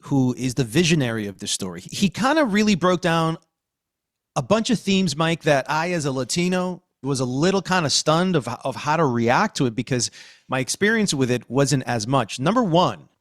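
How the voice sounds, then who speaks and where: An American man with a fairly high voice speaks in a very animated, conversational podcast style. He is emphatic and reflective, pausing for weight, in a dry recording with faint music behind him. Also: no room sound. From Queens, New York, United States. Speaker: podcast co-host.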